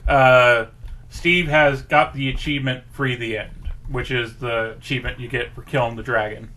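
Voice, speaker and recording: A man co-hosting a casual podcast, pitching ideas in rambling group banter. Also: steady tone, moderate pace, sharp tone.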